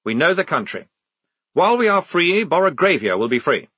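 A man's voice: a little high, very animated, brisk, a bit bright, breathy edge, no echo, pitched above the performer's own voice, full character voice. A man voicing a nervous, earnest young lieutenant who talks in a formal, speechmaking way. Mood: defiant.

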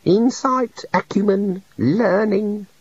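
A man voicing a high, fretful little god. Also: slow pace, slightly rough, dry recording, muffled recording, exaggerated voice. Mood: musing. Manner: sad, fussy, querulous. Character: meek, gloomy male island god, bearded. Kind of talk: audiobook character reading.

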